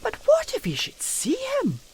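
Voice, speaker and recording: A woman playing a fearful, deferential housekeeper in a gothic period audio drama, speaking haltingly in old-fashioned servant's phrases. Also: very high voice, huge pitch swings, creaky, a little mellow, full character voice, poor audio. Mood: alarmed. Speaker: actor in an audio play.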